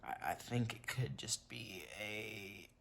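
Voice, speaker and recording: A congested man chatting casually to his viewers in a vlog, halting with many fillers and restarts. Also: lowish voice, gravelly, fairly bright tone, slight background noise. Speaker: vlogger.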